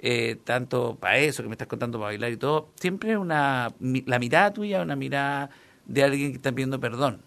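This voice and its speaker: A man's voice: mid-range voice, lively intonation, a little fast, gravelly, fairly bright tone. A man co-hosting a comedy talk show, teasing his partner in a mock-serious way. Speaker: comedy co-host. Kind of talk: comic banter.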